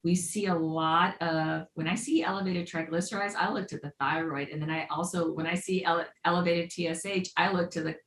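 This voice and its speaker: A woman's voice: fairly low pitch, steady tone, fairly quick, few pauses, a bit bright. A middle-aged woman, a guest on a health podcast, explaining with enthusiasm and playful turns of phrase. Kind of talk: podcast interview. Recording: roomy echo, faint background noise.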